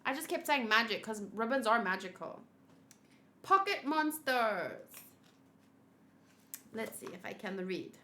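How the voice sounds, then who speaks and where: Woman aged thirty, high bright voice, slow pace, cutesy rambling livestream chat. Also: very animated. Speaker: streamer, host of a gaming channel. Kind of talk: casual livestream chat while unboxing plush gifts.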